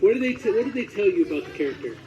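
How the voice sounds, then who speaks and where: A man's voice: high voice, sing-song, very fast, warm tone. A man interviewing a guest in a casual, chatty style. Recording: dull audio with faint noise.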